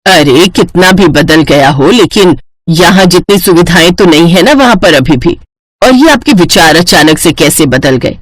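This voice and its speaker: A woman's voice: on the low side, lively intonation, moderate pace, no echo. Woman acting a grumbling middle-aged Indian mother. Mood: doubtful, worried. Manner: grumbling, world-weary. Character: middle-aged Indian mother, exasperated, practical, worrying wife. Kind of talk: animated moral-story voice acting.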